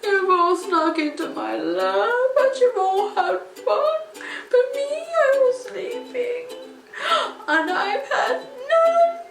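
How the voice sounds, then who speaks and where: A woman's voice: expressive, slow pace, gravelly, faint music behind, exaggerated voice. A woman voicing a big male bear who whines and blubbers tearfully after being woken, in a storybook reading. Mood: weepy, wailing. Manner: whiny, blubbering pitch jumps upward. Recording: roomy echo, soft ukulele behind.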